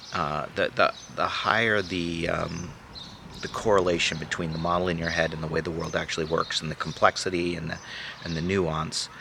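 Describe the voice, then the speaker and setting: Male vlogger, very deep with a fry-heavy rasp, talks things through loosely and gets firm on opinions; breezy rural outdoor recording with birdsong. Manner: rambling, thinking aloud; strident when arguing a point. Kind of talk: casual morning vlog chat. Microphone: handheld camera held close. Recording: outdoor wind and birdsong behind.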